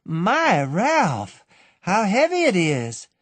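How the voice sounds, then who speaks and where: A man voicing a lively young woman in a light, raised voice. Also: high-pitched, very slow, no echo, pitched above the performer's own voice, exaggerated voice. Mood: playful.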